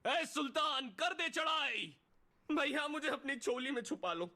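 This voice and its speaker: Brash, very high-pitched comic fighter. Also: male voice, expressive, measured pace, heavily acted. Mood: cheeky commanding. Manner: theatrical, cheeky shouting of short commands. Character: brash, comic, high-voiced male battle-game character. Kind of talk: exaggerated game voice-pack one-liners.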